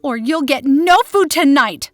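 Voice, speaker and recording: A woman voicing a cruel, taunting sister in an old-time radio horror story. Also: unhurried. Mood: angry. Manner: taunting, shrill shouting. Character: cruel mocking sister, shrieking at her monstrous brother. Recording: roomy echo.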